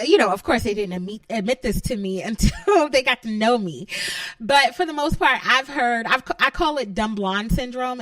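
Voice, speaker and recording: A bubbly woman with a New York accent and a childlike, high-pitched voice, talking casually on her own and giggling often. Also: fairly bright tone, no room sound. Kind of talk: casual solo monologue.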